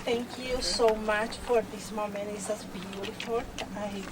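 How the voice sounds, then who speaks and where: A woman from Chile with a Chilean Spanish accent, tearful and speaking in halting, effusive, broken English as a new citizen. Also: mid-range voice, fairly even intonation. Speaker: new citizen giving a speech. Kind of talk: short emotional public speech. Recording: distant mic, loud background noise.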